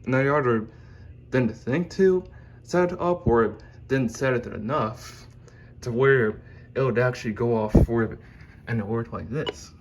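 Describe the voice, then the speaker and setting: A man presenting his collection in an informal, unscripted home video, speaking in halting, meandering fragments into a phone camera. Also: mid-range voice. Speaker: YouTuber presenting own collection. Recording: clear background noise and room ambience.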